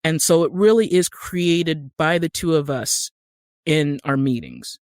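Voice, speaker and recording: A woman's voice: deep voice, a little slow, a little mellow, no echo. American woman interviewee, close dry sound. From Utah, United States. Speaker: podcast guest, screenwriter and actor. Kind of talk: conversational interview.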